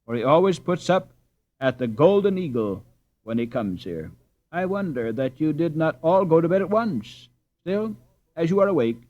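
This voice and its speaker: Man voicing a confident boy captain, raised pitch. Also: a little mellow, lo-fi sound. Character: confident boy captain, leader of his friends.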